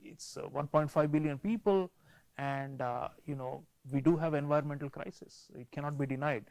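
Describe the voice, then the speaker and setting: A man hosting a panel discussion, speaking in a measured way with frequent pauses and turning to address his viewers. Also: fairly high pitch, creaky, a little mellow, no echo. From India. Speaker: show host.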